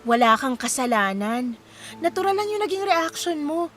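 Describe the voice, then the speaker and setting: An actress playing a caring, supportive young friend in a Filipino radio drama, expressive and sympathetic, with a Filipino accent. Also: female voice, high voice, normal speed. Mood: comforting. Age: young adult. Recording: music playing behind the voice.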